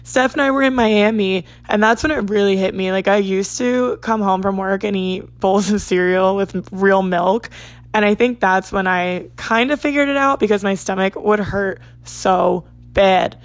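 A woman in her twenties from South Jersey, hosting a podcast in a rambling, chatty monologue, her voice hoarse and raspy. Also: medium pitch, moderate pace, no echo. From South Jersey, United States. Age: 20s. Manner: rambling, lots of "like" and asides. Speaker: podcast host.

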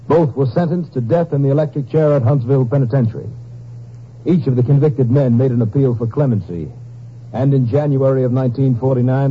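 A man's voice: medium pitch, slight background noise. A man reading formally as a 1950s radio announcer. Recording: muffled old radio broadcast recording.

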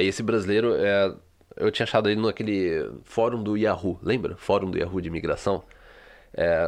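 A man from Brazil co-hosting a podcast, telling stories in casual chat with lots of é and sabe. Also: lowish voice. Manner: rapid storytelling, frequent é and sabe. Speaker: podcast co-host.

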